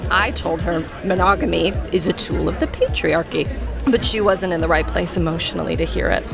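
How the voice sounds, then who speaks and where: A woman's voice: on the low side, a little fast, creaky. A woman playing a brash, carefree, drunken party woman in her thirties in an audio drama. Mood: tipsy, flippant. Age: 30s. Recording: loud music and bar crowd behind.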